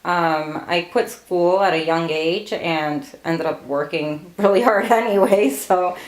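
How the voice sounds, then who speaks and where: A woman's voice: lowish voice, a little slow, reverberant, poor audio. A woman in her late thirties, born in Portugal, answering family interview questions with frequent laughter. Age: late 30s. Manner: laughs often, relaxed storytelling.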